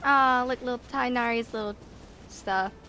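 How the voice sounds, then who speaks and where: A woman in her twenties with a fairly high voice, streaming a game. She chats casually and reads text aloud haltingly, tripping on words, with some noise in the recording. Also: pushed and bright. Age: 20s. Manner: halting, stumbles over words when reading.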